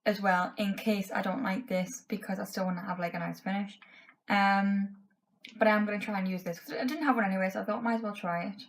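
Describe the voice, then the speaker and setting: A woman's voice: medium pitch, poor audio. A woman in her twenties talks straight to a camera in her bedroom, testing makeup as she goes. Her voice is worn and fading, and she speaks frankly, with plenty of fillers. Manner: chatty, frank, many fillers like "um" and "like". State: losing her voice. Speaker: beauty vlogger. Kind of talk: casual makeup tutorial chat. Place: bedroom, indoors.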